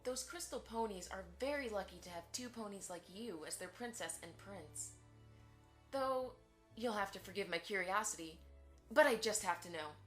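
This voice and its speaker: A woman's voice: mid-range voice, expressive, moderate pace, close to an everyday voice. Woman playing a politely prying pony socialite over music. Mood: probing, insinuating. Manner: formal, courteous, pointedly inquisitive. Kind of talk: fan audio drama acting.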